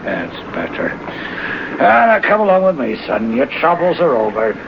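An actor playing a brisk, condescending policeman in an old-time radio drama, official and dismissive. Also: medium pitch, huge pitch swings, gravelly. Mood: patronising. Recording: muffled, noisy old radio recording.

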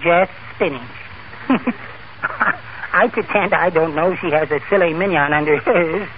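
A man's voice: fairly high pitch, a little slow, lightly acted. An actor playing a meek, timid, henpecked little man in a 1940s radio comedy, with a mild nasal voice and nervous giggles. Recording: noisy phone-like old radio recording.

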